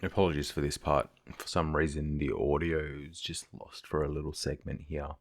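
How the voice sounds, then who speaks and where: Very deep, gravelly man narrating. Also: fairly even intonation, moderate pace, dry recording, studio quality. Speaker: gaming video creator narrating. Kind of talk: relaxed scripted narration of quest logs.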